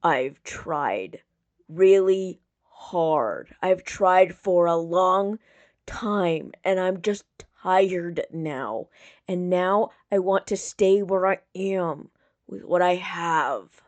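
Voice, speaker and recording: A woman voicing a wounded, withdrawn young man in an audiobook, with a weak, breathy murmur and halting delivery. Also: fairly low pitch, no room sound. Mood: weary, despairing.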